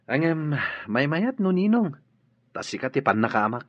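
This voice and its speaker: An actor playing a smooth romantic suitor in a radio drama. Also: male voice, fairly high pitch, sing-song, moderate pace, no room sound, lo-fi sound. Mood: bantering.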